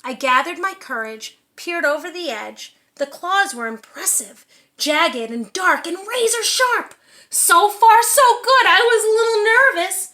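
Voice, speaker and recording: Female storyteller for preschoolers, bright and slow-paced, encouraging, questions the kids, echoey room. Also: extremely high pitch. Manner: encouraging, asks young listeners questions. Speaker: read-aloud storyteller for preschoolers. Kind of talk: animated children's storybook reading.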